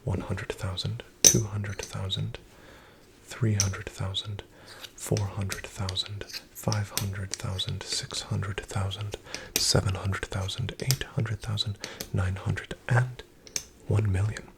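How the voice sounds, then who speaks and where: A man in a soft-spoken, whispered ASMR roleplay as a casino dealer, speaking in a polite, formal, deferential way. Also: lowish voice, little pitch movement, slow, vocal fry, heavy background noise.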